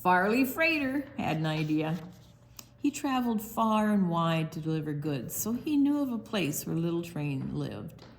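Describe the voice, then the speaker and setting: A woman reading a picture book aloud to young children, animated, pausing to explain things simply and ask questions. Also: on the low side, slight background noise. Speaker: children's story time reader.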